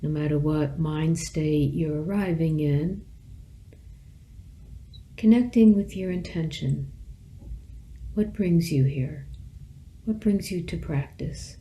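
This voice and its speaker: A woman teacher leading a guided meditation, speaking gently in measured phrases with long pauses between them. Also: sits low, a little hiss or hum, poor audio.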